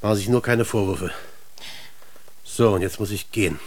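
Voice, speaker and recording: Actor as considerate inspector, noisy recording. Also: male voice, lowish voice, normal speed, close to an everyday voice. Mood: reassuring. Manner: reassuring, businesslike. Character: male police inspector, considerate, brisk and authoritative. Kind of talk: German radio drama acting.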